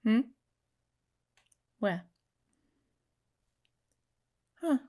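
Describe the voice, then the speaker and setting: A woman voicing a protective, teasing werewolf mate in an intimate ASMR romance roleplay, speaking softly and casually, often slipping into a whisper. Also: fairly high pitch, sing-song, extremely slow, heavily acted. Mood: affectionate, wry. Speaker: audio roleplay performer.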